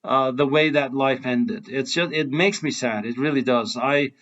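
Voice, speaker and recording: A man's voice: medium pitch, steady tone, normal speed, a bit bright. A man with an Iranian accent hosting a casual interview, enthusiastic and admiring. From Iran. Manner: enthusiastic, admiring, chuckles often. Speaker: host. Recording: dull lo-fi sound.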